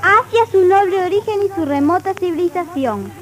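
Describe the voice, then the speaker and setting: Very high child announcer, proudly declaiming. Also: female voice, lively intonation, moderate pace. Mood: solemn, proud. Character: child continent speakers proudly announcing over the radio. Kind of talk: 1960s children's radio theatre. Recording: noisy old radio recording.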